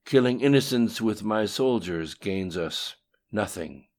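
A man's voice: lowish voice, lively intonation, unhurried, darkish tone, pitched above the performer's own voice. A menacing supernatural tyrant, acted by a male audiobook narrator, speaks as a bodiless voice. He is imperious and scolding, commanding obedience in a raised, emphatic delivery. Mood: cold, calculating. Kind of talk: audiobook dialogue acting.

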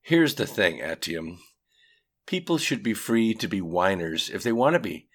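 A man voicing an American character who argues in a slow, analytical, lecturing way. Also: lowish voice, animated, a bit bright, pitched above the performer's own voice.

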